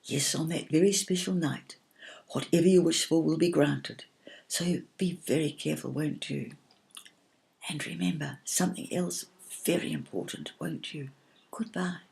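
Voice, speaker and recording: A woman voicing a gentle, solemn little cloud in a children's story, speaking softly and carefully, at times in a whisper. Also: sits low, gravelly, breathy edge, exaggerated voice. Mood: earnest caution. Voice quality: soft, hushed, partly whispered. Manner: slow, careful, earnest.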